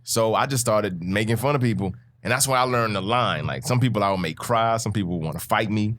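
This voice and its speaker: A young man from the Bahamas tells stories as a guest comedian, with a lowish voice. He acts out the voices of people from his childhood. Faint noise sits behind him. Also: a little fast, a bit bright. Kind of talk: casual storytelling on a podcast.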